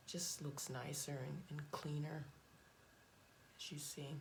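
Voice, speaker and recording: A hoarse woman talks casually through a makeup tutorial, her voice low and full of gravelly fry. She is blunt and chatty, stopping and restarting as she goes. Faint hiss sits under the recording. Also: flat delivery, slow. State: hoarse, voice cracking. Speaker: beauty vlogger. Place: home.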